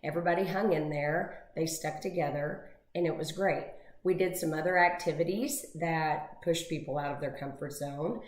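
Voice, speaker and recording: Low-voiced woman losing her voice. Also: normal speed. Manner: chatty, emotional, rambling with many fillers. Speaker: co-host of a real estate talk show. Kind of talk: casual chat. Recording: echoey room.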